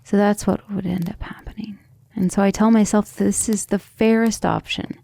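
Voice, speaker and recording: A woman playing a gentle, earnest, devoted female vampire in an intimate ASMR roleplay, speaking tenderly and a little hesitantly. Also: mid-range voice, steady tone, normal speed. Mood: troubled, doubting. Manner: soft, hesitant, tender, often breathy near-whisper.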